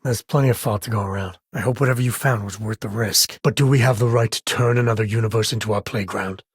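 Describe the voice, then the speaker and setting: An actor playing a rugged space-ranger father in a video game, with a husky, raspy voice and a wry, earnest, conversational manner. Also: lowish voice, fast talker, dry recording, clean studio sound. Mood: somber, earnest. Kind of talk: video game character voice lines.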